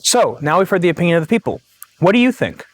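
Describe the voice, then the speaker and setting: A young man presenting a student news report, reading from notes with some filler pauses. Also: high voice, very animated. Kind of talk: scripted news-style narration.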